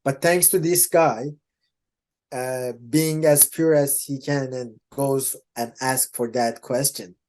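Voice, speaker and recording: A man with a Turkish accent answering interview questions in a casual, halting way, often restarting his sentences and laughing. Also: mid-range voice, steady tone, a little mellow. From Turkey. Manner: hesitant, many restarts and laughs. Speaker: interview guest, Salesforce developer.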